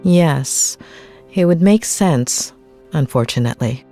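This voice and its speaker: An actress playing a composed, weary therapist, probing and guarded, in a naturalistic video game performance. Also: female voice, sits low, expressive, slow pace, mellow, soft background music, heavily acted. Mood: pensive.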